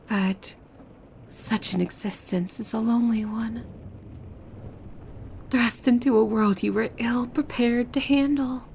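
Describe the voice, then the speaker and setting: A woman playing a possessive demon maid in an ASMR roleplay, devoted and softly menacing, with hushed formal speech that often slips into breathy whispers. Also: on the high side, fairly even intonation, slow, pauses often, a little hiss or hum. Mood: devoted, softly sinister.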